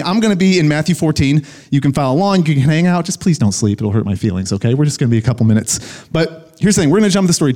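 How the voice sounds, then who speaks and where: A man's voice: medium pitch, darkish tone. Casual American man preaching. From United States, Illinois. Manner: casual, joking, youthful slang. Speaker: guest speaker, pastor. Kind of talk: conversational sermon to students.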